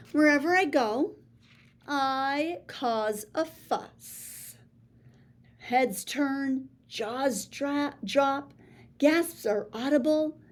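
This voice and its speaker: A woman reading a children's picture book aloud in a playful, theatrical way, with big swings between soft and showy lines. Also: sits high. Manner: boastful first-person storybook voice. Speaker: read-aloud storyteller.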